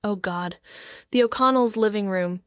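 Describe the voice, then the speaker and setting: A woman's voice: mid-range voice, normal speed, smooth, lightly acted. An actress playing a wounded, avoidant woman, narrating a noir audio drama in an introspective, brooding way. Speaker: narrating character.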